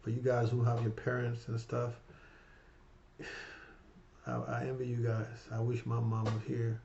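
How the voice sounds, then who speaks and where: Man, fifties, gravelly voice, rambling heartfelt chat to camera, slightly muffled home audio. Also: medium pitch, completely flat delivery, a little slow, a little hiss or hum. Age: 50s. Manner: rambling, repeats "right?" and "you guys"; warm and heartfelt, emotional about his mother. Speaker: finance vlogger. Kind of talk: casual motivational talk to camera.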